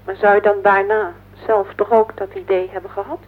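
Actor as an earnest, worried wife, muffled recording. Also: female voice, medium pitch, little pitch movement, brisk, natural voice. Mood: reproachful, insistent. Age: 40s. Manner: earnest, plaintive, insistent. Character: worried, insistent wife of forty-one, earnest and heavy-hearted. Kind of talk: radio play acting. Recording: noisy, band-limited old radio play recording.

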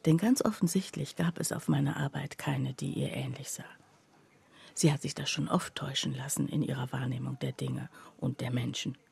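Sehr heisere, knarrende, skeptische Freundin. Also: female voice, a bass voice, moderate pace, creaky, darkish tone, slightly rough, full character voice. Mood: skeptical, confiding. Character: skeptical female friend recounting her friend's odd behaviour. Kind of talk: literary radio play narration.

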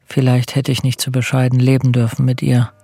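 Subdued, hesitant middle-aged bachelor. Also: male voice, medium pitch, completely flat delivery, brisk, creaky, mellow, breathy edge. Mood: brooding, troubled. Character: shy, modest middle-aged bachelor stamp collector, anxious and brooding. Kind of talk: radio play acting with inner monologue.

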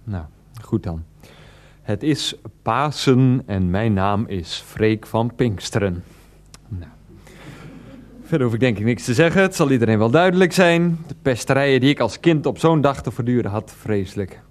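An actor playing a whiny, self-pitying husband in a radio comedy drama. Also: male voice, medium pitch, lively intonation, moderate pace, close to an everyday voice.

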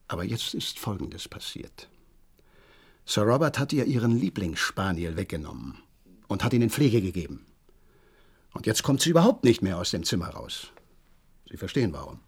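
Worked up horse trainer in a radio play, very respectful, dramatic storytelling, voice all over the place. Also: male voice, sits low, very animated, moderate pace, long pauses, slightly rough, exaggerated voice. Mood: secretive, earnest. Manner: deferential, eager, dramatic storytelling. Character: agitated horse trainer reporting a mystery, deferential male servant. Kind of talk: German radio play acting.

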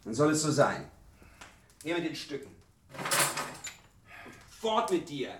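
A man's voice: fairly high pitch, big pitch swings, slow pace, heavy background noise. An actor playing a brash, fearless young hero in a fantasy radio play, bold and taunting in his declamation. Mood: impatient. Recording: echoey, distant sound with music behind.

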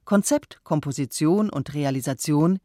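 A woman's voice: low voice, unhurried, mellow, no room sound, studio quality. A woman reading scripted closing credits for a radio play as an announcer.

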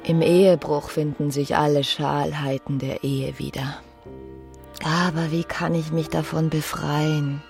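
A woman's voice: deep voice, level tone, a little slow, gravelly, a little mellow, faint music behind. An actress playing a passionate, bitter young married woman who broods in a literary radio drama. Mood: weary, bitter. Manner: brooding inner monologue with emotional outbursts.

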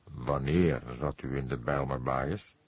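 Audiobook role: old male inspector, deep and husky, probing, measured, wry read by a man. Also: no room sound, exaggerated voice. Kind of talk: audiobook character dialogue.

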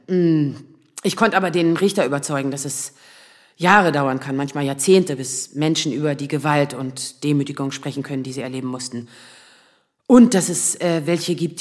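An actress playing a nervous, self-doubting lawyer in her thirties in a naturalistic radio drama, speaking in a halting, rambling way. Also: low voice. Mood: nervous, eager, then earnest, moved. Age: 30s. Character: nervous, self-doubting 35-year-old asylum lawyer, single woman.

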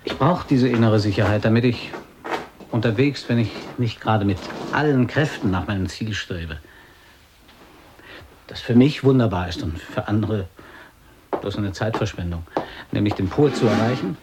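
A man acting an earnest, reflective adventurer in a radio drama, speaking in a measured, introspective way. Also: fairly low pitch, noisy background, lightly acted. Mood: earnest, thoughtful. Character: earnest, reflective male adventurer setting out for the Pole.

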